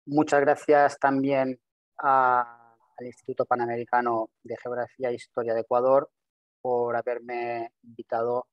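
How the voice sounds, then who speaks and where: A man in his thirties who is not a native speaker, giving a webinar presentation over a video call, quick and full of restarts and repeated words. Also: medium pitch, dry recording, poor audio. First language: not Spanish. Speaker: webinar presenter, systems engineer. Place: home office with virtual background. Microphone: video call webcam.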